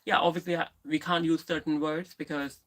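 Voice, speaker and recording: A woman with a lisp who livestreams, chatting candidly and answering viewers in a rambling way with many restarts and pauses. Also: low-pitched, flat delivery. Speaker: live streamer. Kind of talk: casual live stream chat, answering viewers.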